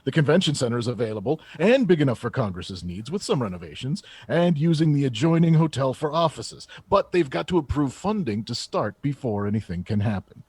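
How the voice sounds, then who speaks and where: A man acting as an assertive chief of staff in an audio drama, with a slightly rough, husky voice. His delivery swings expressively in pitch as he presses each point like a polished politician, in a dry, close recording. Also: big pitch swings, fairly quick, hardly any pauses, a little mellow, dry recording. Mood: assured. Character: confident, combative male presidential chief of staff. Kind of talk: radio theater acting, political interview answers.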